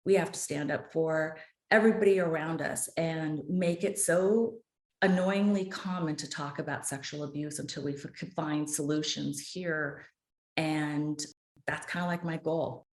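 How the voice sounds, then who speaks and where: A woman's voice: sits low, fairly even intonation, a little slow, reverberant. A woman in her fifties, a guest author on a podcast, speaking earnestly and with passion. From United States. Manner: earnest, impassioned, speaks with conviction. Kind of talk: podcast interview.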